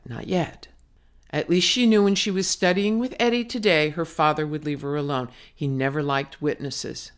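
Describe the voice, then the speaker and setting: A woman narrating her own novel as an audiobook, in a dramatic storytelling manner with slangy, clipped phrasing. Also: lowish voice. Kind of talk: scripted narration, audiobook reading.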